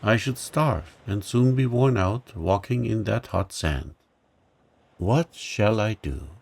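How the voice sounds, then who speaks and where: A man's voice: on the low side, expressive, slow, gravelly, a little mellow, full character voice. A man voicing a polite, timid little girl in a storybook reading, speaking earnestly. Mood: worried.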